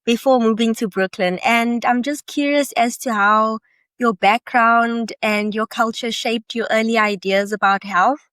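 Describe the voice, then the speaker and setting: A woman's voice: fairly high pitch, fairly even intonation, a little slow, fairly bright tone, smooth, no room sound. A woman hosting a wellness podcast, introducing her guest and asking questions in an upbeat, affirming manner. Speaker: podcast host. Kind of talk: interview hosting.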